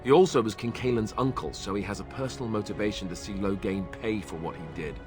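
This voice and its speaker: A deep male voice of a young warrior, acted by a man in a fantasy video game. He is wry, boyish and self-deprecating, chatting conversationally over background music. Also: fast, natural voice. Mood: wry, resigned.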